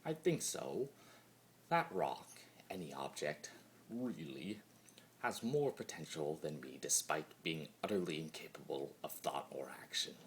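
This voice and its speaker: A man's voice: very deep voice, animated, unhurried, slight background noise, voice pushed down. A man voicing a mad, immortal chaos spirit in a theatrical dramatic monologue, torn between glee and brooding. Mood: hushed brooding. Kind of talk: dramatic fan-fiction monologue reading.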